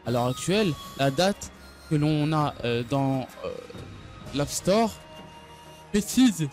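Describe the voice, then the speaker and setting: A man giving an unscripted gaming news recap, speaking haltingly and often stumbling or repeating his phrases. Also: a little high, lively intonation, darkish tone. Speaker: gaming video creator. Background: background music.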